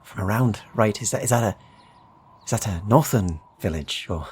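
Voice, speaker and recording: A man's voice: fairly low pitch, lively intonation, moderate pace, darkish tone, husky, voice pushed up. A man voicing an awkward, chatty travelling trader in a fantasy game, hesitant and eager, often stammering over his words. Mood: awkward, curious.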